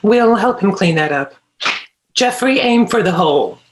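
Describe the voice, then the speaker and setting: A woman's voice: medium pitch, animated, measured pace, close to an everyday voice. A woman playing an exasperated, protective mother in a screenplay table read. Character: exasperated, protective mom of three.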